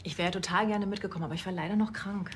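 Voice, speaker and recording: Woman playing a quick-talking police colleague. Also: medium pitch, fairly even intonation, close to an everyday voice. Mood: helpful, polite. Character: helpful, wry female police colleague. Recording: noisy room with clicks.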